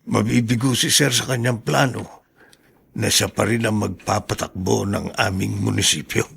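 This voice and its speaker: Nervous gossipy servant, raspy creaky voice, filipino radio drama, very respectful. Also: male voice, lowish voice, fairly even intonation, normal speed, a bit bright, exaggerated voice. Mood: confiding. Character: deferential male household aide, anxious and gossipy.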